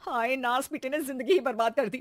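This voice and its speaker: Woman acting a shrill, exasperated scolding mother. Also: a little fast, lightly acted. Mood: lamenting. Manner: shrill shouting, sarcastic nagging. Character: exasperated, scolding Indian mother and housewife, sarcastic. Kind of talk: animated cartoon story voice acting.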